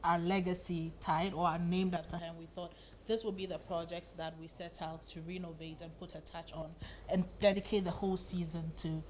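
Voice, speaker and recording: A woman's voice: lowish voice, level tone, normal speed, slight background noise. A woman with a Ghanaian accent giving a TV interview as an event coordinator, speaking in an enthusiastic, informal way. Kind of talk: morning TV interview. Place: TV studio set.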